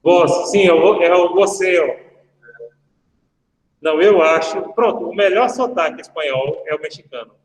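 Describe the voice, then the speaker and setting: A male law professor talks casually to his class, drifting into jokey stories. His voice sits a little high. The sound rings with a long, roomy reverb. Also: measured pace. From Brazil. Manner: chatty, anecdotal, jokey asides to students. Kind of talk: casual online lecture. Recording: echoey room with long reverb.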